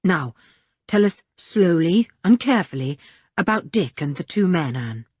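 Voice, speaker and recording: Brief: woman voicing a protective teen brother, grim, firm, low-set. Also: big pitch swings, measured pace, a little mellow, breathy edge, no room sound, clean studio sound, full character voice. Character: stern protective older teenage brother.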